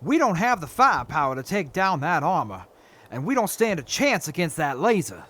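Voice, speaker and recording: An actor playing a worried, urgent wasteland crewmate in an audio drama. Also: male voice, fairly high pitch, big pitch swings, moderate pace, bright, forceful tone, full character voice. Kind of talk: post-apocalyptic audio drama.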